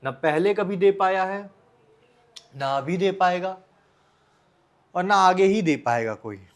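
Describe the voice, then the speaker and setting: A man's voice: high-pitched, expressive, slow. An Indian man giving informal motivational advice straight to the listener, bluntly, with rhetorical questions and repeated phrases. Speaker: advice vlogger.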